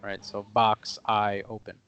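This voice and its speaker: A young man co-hosting a podcast, casually explaining things step by step with lots of fillers and pauses. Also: fairly low pitch, little pitch movement, a bit bright, smooth. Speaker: podcast co-host. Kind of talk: casual conversation.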